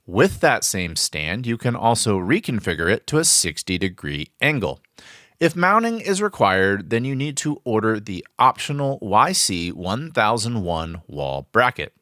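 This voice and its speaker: A man delivers scripted instructional narration with a polished presenter's delivery. His voice is bright and forward, with very animated, swooping intonation over a middle pitch. The sound is close and dry, like a studio voice-over. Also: unhurried, no room sound. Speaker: training video narrator. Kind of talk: scripted product training narration. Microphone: close studio voice-over mic, dry.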